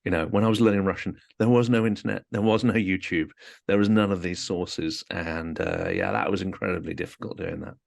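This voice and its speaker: A man hosting an interview in a relaxed, chatty way, often saying "you know". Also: deep voice, a little fast, vocal fry. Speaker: host. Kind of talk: casual interview chat.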